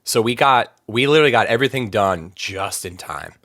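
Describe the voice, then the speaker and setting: Low-voiced rambling man in an interview. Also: fairly quick, no room sound, clean studio sound. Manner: relaxed and rambling, many fillers and restarts. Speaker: band vocalist, podcast guest. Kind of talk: casual interview chat.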